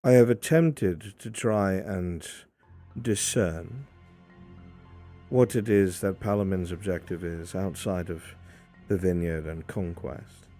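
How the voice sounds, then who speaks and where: A man playing a shrewd informant in a fantasy roleplay, speaking in a formal, deliberate way. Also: low voice, mellow. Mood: measured, businesslike. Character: measured, shrewd male informant in fantasy court intrigue. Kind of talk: improvised fantasy roleplay dialogue. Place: online VR voice chat. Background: music.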